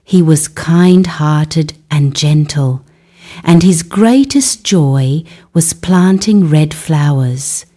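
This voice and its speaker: A woman narrating scripted fairy tales for young children, speaking gently in short, simple phrases. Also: low voice, lively intonation, extremely slow. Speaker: children's bedtime storyteller.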